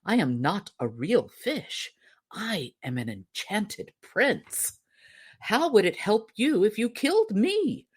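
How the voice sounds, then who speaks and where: A woman voicing an enchanted prince in the shape of a golden fish, solemn and measured, in a storybook. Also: mid-range voice, big pitch swings, slightly rough, pitched above the performer's own voice, heavily acted. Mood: pleading.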